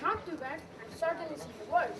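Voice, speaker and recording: Young woman doing a modest old soldier guy in a school play, very high voice, distant and noisy. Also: brisk, lightly acted. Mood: genial. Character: modest, well-travelled old army sergeant major, male. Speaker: student actor in a school play. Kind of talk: amateur stage acting. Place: school stage, recorded from a distance.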